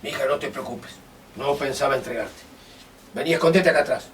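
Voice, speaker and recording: A man's voice: medium pitch, fairly quick, a bit bright, lightly acted. A man with an Argentine accent acting an anxious, protective character in a radio drama. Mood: protective, urgent. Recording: distant mic, faint room noise.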